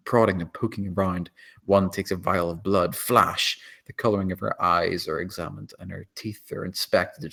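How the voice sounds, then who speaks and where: A man's voice: sits low, vocal fry, sharp tone, breathy edge. A man reading out stage directions as scripted screenplay narration at a table read.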